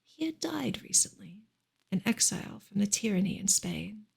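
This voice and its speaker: A woman reading a novel aloud in a soft, breathy ASMR style, partly whispered, in a gentle, hushed and unhurried way. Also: mid-range voice, big pitch swings. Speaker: ASMR reader and streamer.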